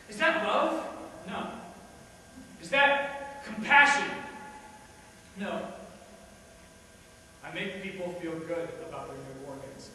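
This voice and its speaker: A man performs a cynical, self-justifying dead man in a stage monologue. His voice sits high for a male and moves between musing and emphatic rhetoric. It carries from a distance through a long hall reverb, with clear noise in the recording. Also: pushed and bright, exaggerated voice. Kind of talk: theatrical dramatic monologue.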